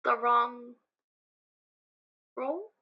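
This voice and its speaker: A woman's voice: fairly high pitch, extremely slow. A young woman playing an anxious, self-doubting student in an improvised audio drama, speaking hesitantly with stammers, on a slightly dull recording. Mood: uneasy probing. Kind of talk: improvised actual-play audio drama, conversational.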